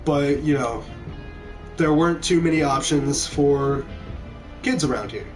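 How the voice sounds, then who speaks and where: Higher-voiced young American man, music behind. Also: unhurried. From small town in Kentucky, USA. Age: young adult. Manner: rambling, conversational. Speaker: game streamer. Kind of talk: casual storytelling over gameplay. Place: indoor room at home. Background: background music.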